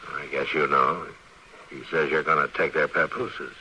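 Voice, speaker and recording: An actor with an American accent playing a steady, authoritative frontier lawman in an old radio western, asking direct, probing questions. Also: extremely low pitch, moderate pace, exaggerated voice. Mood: calm, concerned. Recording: faintly noisy vintage radio recording.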